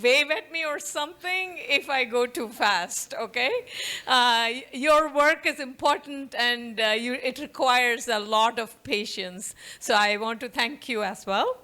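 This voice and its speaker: A middle-aged woman with an Indian accent speaks to an audience in a university auditorium. Her voice sits high and has a bright edge. She talks fast and conversationally, with frequent light laughs. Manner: quick, warm, laughs often, conversational. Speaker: professor giving a talk. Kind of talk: conference talk to an audience.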